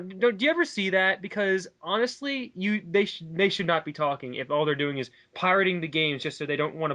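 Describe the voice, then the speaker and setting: A man's voice: a little high, sharp tone. A man in a casual, opinionated discussion, talking at length in an emphatic, argumentative way. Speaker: online commentary co-host.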